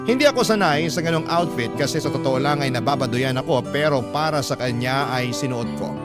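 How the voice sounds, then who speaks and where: A man's voice: medium pitch, expressive, a little fast. A man narrating a listener's letter as a scripted, dramatic story in classic radio storytelling style. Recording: loud guitar music under voice. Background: plucked guitar music.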